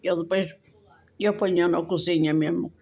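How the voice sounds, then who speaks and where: A woman's voice: on the low side, steady tone, moderate pace, gravelly, darkish tone. An older woman with a European Portuguese accent, rambling casually and affectionately to viewers as a home vlogger, recorded on a handheld phone. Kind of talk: casual chat to viewers at the table. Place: home dining room, indoors. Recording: handheld phone, clinking cutlery noise. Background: cutlery and dishes clinking.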